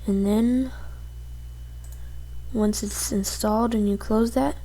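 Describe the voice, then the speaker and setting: Mellow, unhurried woman giving a casual tutorial. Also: medium pitch, steady tone. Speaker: tutorial presenter. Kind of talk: casual screen-recorded software tutorial.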